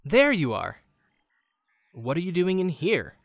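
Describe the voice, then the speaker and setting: A man playing a kind, earnest teenage boy in an animated fan drama, with a gentle, playful, conversational manner. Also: fairly high pitch, unhurried, vocal fry, dry recording, clean studio sound, full character voice. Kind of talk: fan visual novel voice acting.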